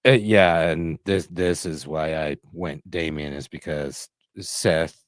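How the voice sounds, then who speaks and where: Very deep-voiced man in casual sports talk. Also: fairly even intonation, takes it slowly, no room sound, studio quality. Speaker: podcast co-host. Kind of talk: casual sports prediction chat.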